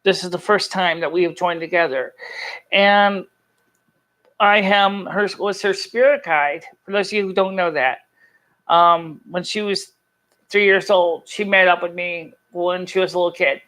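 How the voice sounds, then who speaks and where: A live stream host chatting casually and telling personal stories in an earnest, halting, rambling way. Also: mid-range voice, steady tone, fairly bright tone. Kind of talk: casual chat to a live chat audience, personal storytelling.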